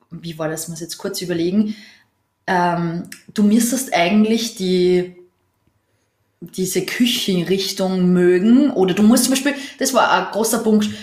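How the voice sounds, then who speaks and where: Earnest woman in an echoey room. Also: mid-range voice. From Austria. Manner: earnest, long winding explanations. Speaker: podcast co-host. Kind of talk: casual conversation.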